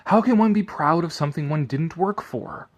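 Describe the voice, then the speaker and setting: A man's voice: a little high, lively intonation, normal speed, lo-fi sound. A man giving a sardonic commentary and theatrical reading of an article, drifting into rambling asides.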